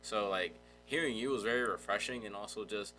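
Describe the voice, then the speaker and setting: Low, bright-voiced man hosting a casual podcast. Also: brisk, faint music behind, low-quality recording. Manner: informal, slangy. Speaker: podcast host. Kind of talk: casual chat.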